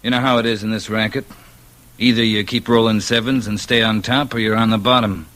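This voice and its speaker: Man acting a low-voiced, menacing radio gangster boss. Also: steady tone, fairly quick, fairly bright tone, lightly acted. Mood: calm menace. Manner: genial, understated menace. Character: smooth, menacing male gangster boss, coolly amused. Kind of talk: 1940s radio crime drama acting.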